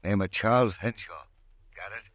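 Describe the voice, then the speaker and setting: A man playing a tough, streetwise crook who speaks in a hushed, clipped way over the phone, in a 1940s radio drama. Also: fairly low pitch, moderate pace, no echo, clean studio sound.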